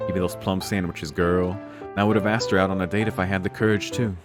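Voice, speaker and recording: A man's voice: low-pitched, fairly even intonation, quick pace. A man reading a visual novel aloud in a casual, slangy, amused way, swearing and reacting off the cuff. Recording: music behind the voice.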